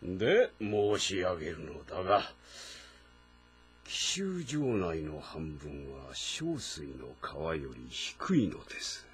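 An actor playing a smug, arrogant strategist of ancient China in a game drama, talking in a haughty, self-satisfied way. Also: male voice, extremely low pitch, sing-song, takes it slowly, full character voice. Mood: smug, sly. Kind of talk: Japanese historical drama game acting. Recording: clear music behind the voice. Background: background music.

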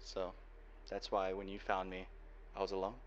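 A young man playing a guarded, protective cyberpunk hacker boyfriend in an ASMR roleplay, speaking earnestly and haltingly. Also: sits low, monotone, natural voice. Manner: earnest, halting delivery with sighs. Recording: dull lo-fi home recording, faint noise.

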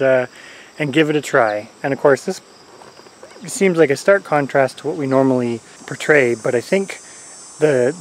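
A man in his forties, a homesteader talking casually to a handheld camera outdoors, relaxed and rambling with many fillers and chuckles. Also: medium pitch, rough recording. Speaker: homesteader vlogger. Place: outdoors in a corn garden. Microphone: camera-mounted mic at arm's length. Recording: faint outdoor insect noise. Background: crickets and insects.

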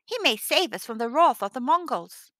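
A woman reads as a poor village wife in a folktale. She is practical and earnest, with a high, bright voice. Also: very animated, normal speed, no room sound, studio quality, heavily acted. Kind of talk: storybook character voice.

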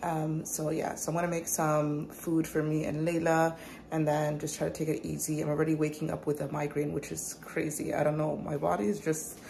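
A woman's voice: deep voice, level tone, fairly quick, vocal fry, a little mellow, a little hiss or hum. A woman in her thirties chatting candidly to her phone camera, tired but open, vlogging from her car and kitchen. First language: English. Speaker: vlogger, mother. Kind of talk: casual vlog chat. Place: in a parked car and a home kitchen. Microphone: phone held at arm's length.

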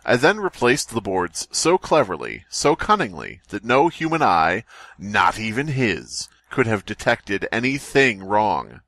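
Man, podcast co-host, lively pitch, close dry sound, theatrical, teasing, sweary. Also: measured pace, no room sound. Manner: foul-mouthed, theatrical, teasing. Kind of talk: comedic banter and dramatic story reading.

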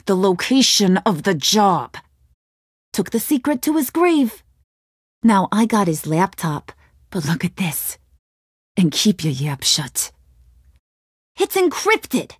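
Video game villainess, young gang boss, slow drawn-out delivery, swooping pitch, slangy taunts, playful menace. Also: female voice, sing-song, breathy edge, no echo, clean studio sound, full character voice. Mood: commanding. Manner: taunting, slangy, theatrical, swinging from sweet to threatening.

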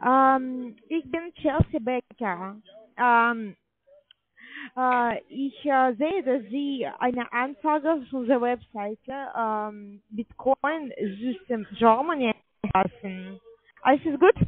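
A woman with a foreign accent, an account manager giving a hesitant, halting sales pitch in broken sentences. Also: dry recording. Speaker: call center account manager. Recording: band-limited phone-call audio.

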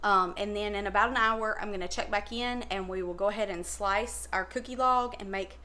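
A woman's voice: mid-range voice, a little fast, bright, forceful tone. A middle-aged woman talking in a casual, folksy way to a camera a step or two away in her home kitchen, as host of a cooking demonstration. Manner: chatty, folksy, informal slangy phrasing. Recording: clearly noisy home recording with clicks.

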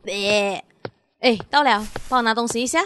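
A woman's voice: on the high side, moderate pace, a bit bright, slight background noise, natural voice. A young woman acting in a radio drama as a sweet, devoted girlfriend who is easily wounded. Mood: chirpy, casual. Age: young adult.

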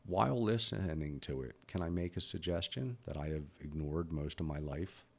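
A man's voice: low-pitched, animated, normal speed, creaky, a little mellow. A man in his fifties who stutters, talking bluntly and candidly about his own life as a podcast host. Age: 50s. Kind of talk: personal reflective monologue.

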